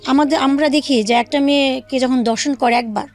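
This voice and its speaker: A woman with a Bangladeshi accent telling her own life story in an interview, haltingly, with pauses and repeated phrases. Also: high voice, fairly even intonation. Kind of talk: personal storytelling in a radio interview. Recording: faint music bed under the voice. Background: soft background music.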